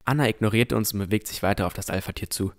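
A cautious boy adventurer, played by a man narrates in the present tense. The voice is fairly low, with a scripted fantasy audio drama delivery full of careful wonder. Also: rapid-fire, close to an everyday voice. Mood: watchful.

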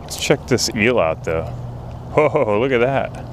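A man in his thirties casually narrating his fishing trip outdoors to an action camera, relaxed and chatty. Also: mid-range voice, measured pace. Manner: relaxed, talks to camera, excited when landing a fish. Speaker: fishing vlogger. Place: outdoors by a canal on rocks. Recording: outdoor camera audio with background noise.

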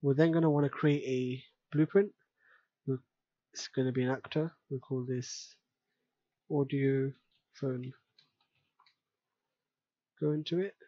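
A man giving an unscripted software tutorial in a casual way, thinking aloud step by step as he works. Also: mid-range voice, steady tone, a little slow, pauses often, no echo, highs cut off. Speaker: tutorial presenter. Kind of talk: unscripted screen-recorded software tutorial narration.

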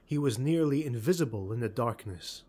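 A man narrating a dark fantasy audiobook in a measured, dramatic storytelling manner. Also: medium pitch, animated, breathy edge.

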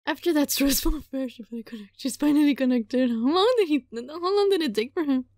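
A woman playing a visual novel, reading it aloud dramatically and reacting casually in an animated, playfully exasperated way, sometimes stumbling over words. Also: high voice, fast talker, no echo, studio quality.

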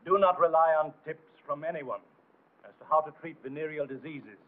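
A thin, narrow-band vintage film soundtrack with light background noise carries a man's voice. He reads a 1940s military training script formally, in a somewhat high register. His delivery is didactic and authoritative, stressing instructions firmly. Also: brisk.

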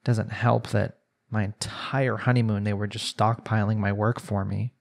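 A man's voice: on the low side, level tone, normal speed, creaky. A man voicing a young newlywed husband in an arranged marriage, speaking conversationally in an intimate audio roleplay. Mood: tired, self-satisfied.

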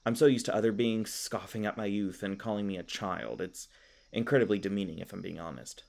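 Casting: male actor for kindly elf park ranger, lowish voice, stammering, self-deprecating, casual fantasy roleplay delivery. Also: brisk, gravelly, a little mellow. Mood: chatty, sheepish. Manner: rambling, stammering, apologetic, self-deprecating humor. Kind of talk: fantasy audio roleplay, casual conversational acting.

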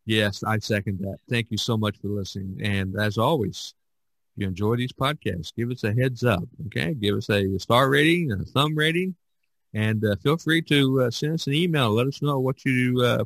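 A man hosting a podcast in casual discussion, halting and repeating himself as he searches for words. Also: medium pitch, no room sound. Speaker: podcast host.

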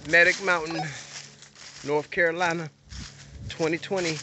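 A man's voice: on the high side, level tone, a little slow. A man and father narrating casually as he hikes a wooded mountain trail, chatty and pointing out sights. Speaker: father filming a hike. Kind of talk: casual vlog narration while hiking. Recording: outdoor camera audio with clear background noise.

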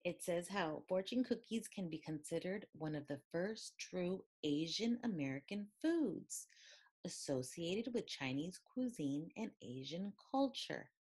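Woman storybook reader, lowish pitch, unhurried pace, expressive and deliberate delivery for a picture book. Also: slightly rough. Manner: expressive, deliberate reading with questioning lilts. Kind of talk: picture book read-aloud.

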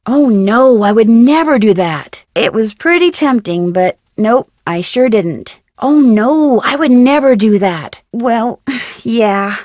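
Young american girl detective for a video game, friendly n curious, slightly high voice, dry close sound. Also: lively intonation, slow, a little mellow, dry recording. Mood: agreeable. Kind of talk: scripted game dialogue, conversational.

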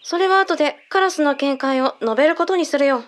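An actress playing a calm intelligence colleague in an audio drama, measured and matter-of-fact, with blunt masculine phrasing. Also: female voice, high voice, lightly acted. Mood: composed, explanatory. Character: calm intelligence colleague briefing secret findings.

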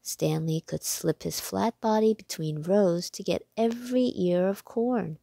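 A woman reads a children's story aloud. Her pace is slow and measured, her voice has noticeable creak, and the recording is dry and close. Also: medium pitch, mellow, no room sound. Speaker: read-aloud narrator. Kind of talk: scripted children's storybook narration.

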